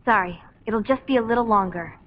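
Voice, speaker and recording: A woman playing a calm, solemn young soldier with foresight in a video game drama. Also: fairly high pitch, fairly quick, lightly acted. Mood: gentle, resigned. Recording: muffled game audio.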